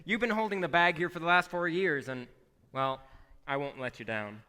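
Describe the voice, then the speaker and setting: A man's voice: a little high, normal speed, bright, forceful tone, clean tone. An actor playing a cheerful, sincere young man home from college in an old-time radio play. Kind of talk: 1940s radio play acting. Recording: echoey hall, live stage taping.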